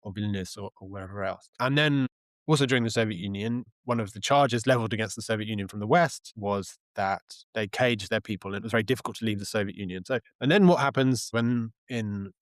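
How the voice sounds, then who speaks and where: Low-voiced man, thoughtful and halting. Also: no echo. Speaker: interview guest, journalist and author. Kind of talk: conversational interview answers.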